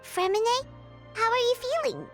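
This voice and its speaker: A woman voicing a tiny, excitable female sprite companion in a video game, squeaky and bouncy. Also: measured pace, exaggerated voice. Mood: curious. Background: game music.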